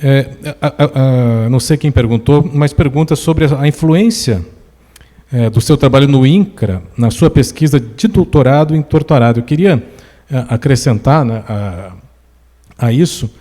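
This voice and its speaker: Mellow Brazilian man interviewing in an echoing hall. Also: mid-range voice. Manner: halting, circling toward questions. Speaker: interviewer, moderator. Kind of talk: conversational interview on stage. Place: indoor cultural venue, stage talk. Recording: reverberant hall.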